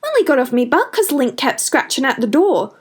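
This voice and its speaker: Down-to-earth village woman, cheeky and colloquial, pitched up, voiced by a female narrator. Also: very animated, a little fast. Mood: grumbling.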